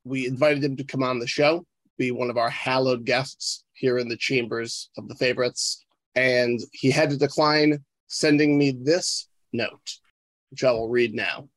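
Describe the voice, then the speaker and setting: A man speaking in a deadpan way on a podcast, as a contributor talking and reading aloud. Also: medium pitch, a little slow, dry recording, low-quality recording.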